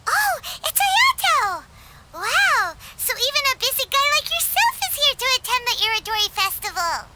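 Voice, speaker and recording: A woman voicing a tiny, childlike, cheeky girl companion in a video game, squeaky and given to exclamations. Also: sing-song, moderate pace, heavy background noise. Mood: curious, excited. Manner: exclaiming, speaks of herself in third person.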